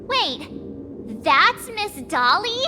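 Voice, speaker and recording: A woman's voice: extremely high pitch, big pitch swings, drawn-out words, noisy background, heavily acted. A woman voicing a perky, dramatic and determined teenage girl in an anime-style game, with girlish, emphatic delivery. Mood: puzzled. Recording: music behind the voice. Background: music.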